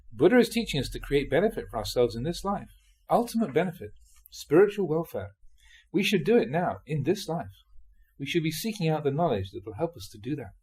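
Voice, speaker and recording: A male monk reads translated Buddhist teachings aloud. His voice is mid-pitched, soft-edged and mellow, with some huskiness. The pitch moves freely, giving the reading an expressive, storytelling shape. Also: husky. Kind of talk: reading translated Dhamma talks aloud, like an audiobook.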